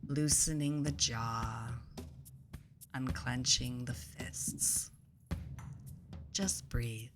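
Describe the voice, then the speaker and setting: A woman leading a guided meditation in a soothing, deliberate way, pausing between phrases, her soft voice turning breathy as phrases end. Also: a bass voice, steady tone. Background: instrumental music.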